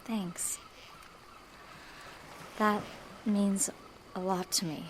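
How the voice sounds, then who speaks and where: A woman voicing a guarded young woman in an audio drama, quiet and wry about her grief. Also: mid-range voice, fairly even intonation, very slow, slight background noise, rough recording. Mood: wistful. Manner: dry, wry, subdued.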